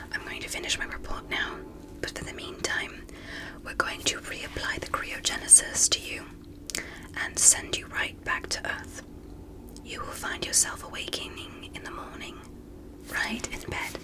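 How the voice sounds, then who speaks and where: A woman playing a polite, gentle alien doctor in an ASMR medical roleplay, speaking in a careful, breathy whisper. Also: close to an everyday voice, poor audio. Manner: slow, careful, softly reassuring.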